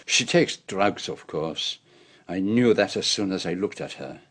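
An actor playing a shrewd, self-assured Belgian detective in a radio drama, with a French-speaking Belgian accent and a deliberate, theatrical manner. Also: male voice, low-pitched, expressive, fairly bright tone, breathy edge, no echo, full character voice. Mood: confident, amused. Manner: deliberate, theatrical, peppers speech with French phrases.

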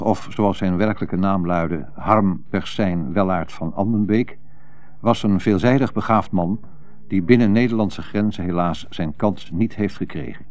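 Male actor as newsreader, low voice, dry close sound, formal, measured, solemn, radio play bulletin. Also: a little mellow, no room sound, close to an everyday voice. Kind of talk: formal scripted news reading within radio drama.

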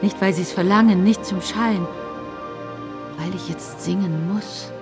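A woman's voice: medium pitch, unhurried, natural voice. An actress playing a determined young woman singer, speaking urgently from a distance. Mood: insistent. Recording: distant voice over music.

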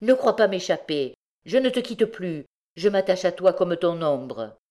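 A woman's voice: low-pitched, a little slow, a little mellow. A woman voicing a desperate working-class mother, horrified and fiercely defiant, in an exclamatory, pleading way.

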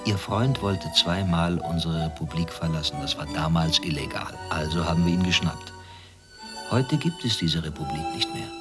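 Man acting a low, menacing ex-secret policeman. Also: moderate pace, music in the background, lightly acted. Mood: insinuating, falsely soothing. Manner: insinuating, controlled interrogator. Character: menacing former East German state security officer, coolly insinuating. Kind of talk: German radio drama acting.

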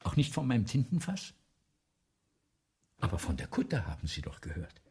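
Ailing, vain writer in radio drama: husky, gravelly, halting, theatrical. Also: male voice, mid-range voice, huge pitch swings, warm tone, heavily acted. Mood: rambling, aggrieved. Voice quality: raspy, breathy, gravelly. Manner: theatrical, halting, repeats himself, swinging between whispers and outbursts. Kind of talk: German radio play acting.

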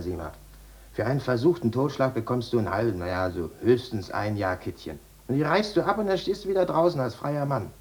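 A man's voice: mid-range voice, a little mellow. A man playing a cynical, streetwise prison cellmate in a 1950s German radio drama, blunt and mocking in colloquial talk.

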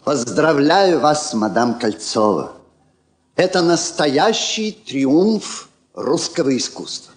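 A man's voice: fairly high pitch, animated, slow pace. An older man, an actor playing a grumbling, self-mocking old king in a theatrical, ironic drawl. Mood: gracious, wry. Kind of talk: Soviet stage drama acting.

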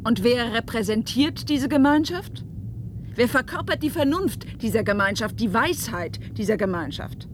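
A woman's voice: fairly high pitch, lively intonation, moderate pace, lightly acted. Actress as headstrong woman over vehicle noise. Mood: sceptical. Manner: earnest, defiant, emphatic. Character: headstrong, questioning woman defying a secretive leader. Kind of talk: German science-fiction radio play acting. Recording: radio play with clear vehicle noise.

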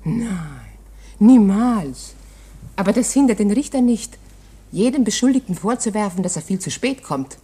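An actress playing a young court usher's wife in a German radio play, chatty, confiding and coquettish. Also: female voice, medium pitch, expressive, moderate pace, warm tone, breathy edge. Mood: wry. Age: young adult.

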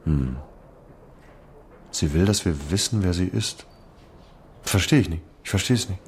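A thoughtful police inspector in a radio play, musing aloud with a very low voice. Faint background noise sits behind him. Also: male voice, unhurried. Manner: thoughtful, musing, talks it through. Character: older male police chief inspector, musing and weary. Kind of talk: radio drama acting.

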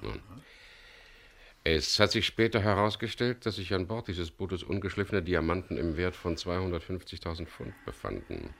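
An actor playing a composed, courteous leader of a risky venture in a radio play, explaining things in a measured way. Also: male voice, extremely low pitch, vocal fry, a bit bright, full character voice. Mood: measured, explanatory. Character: composed man, leader of a diamond salvage venture. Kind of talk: 1970s German radio play acting.

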